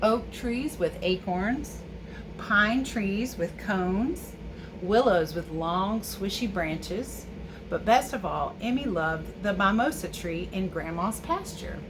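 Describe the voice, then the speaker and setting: A woman's voice: medium pitch, expressive, slow. A woman teacher reading a picture book aloud to children with chatty asides. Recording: clear background ambient noise.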